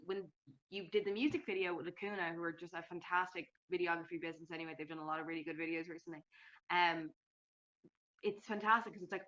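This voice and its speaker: Woman interviewer, lowish bright voice, gushing and chatty, kinda muffled lo-fi sound. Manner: enthusiastic, chatty, many fillers. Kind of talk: casual interview chat.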